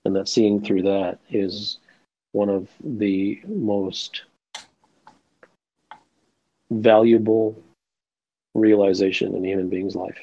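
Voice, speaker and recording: A man who answers questions bluntly and deliberately, his low voice gravelly. The audio is dull and lo-fi. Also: steady tone, dark tone. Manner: blunt, measured, slow and deliberate. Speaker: guest answering audience questions. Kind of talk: terse question-and-response.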